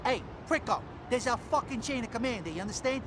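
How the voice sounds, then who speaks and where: Bossy mob crew boss, very high and swooping, short-tempered, game cutscene, heavy noise behind. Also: male voice, expressive, quick pace. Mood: irritated, bossy. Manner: pushy, profane, barking orders. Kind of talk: video game cutscene acting.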